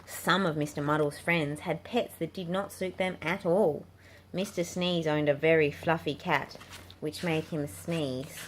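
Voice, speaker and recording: A woman's voice: low voice, fairly even intonation, unhurried. A woman reading a picture book aloud to children as a storybook narrator. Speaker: children's book reader and channel host. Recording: clearly noisy home recording.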